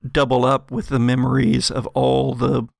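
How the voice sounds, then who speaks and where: Man, game master, tabletop horror narration, creaky voice, deliberate phrasing, dry sound. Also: medium pitch, completely flat delivery, a little mellow, dry recording.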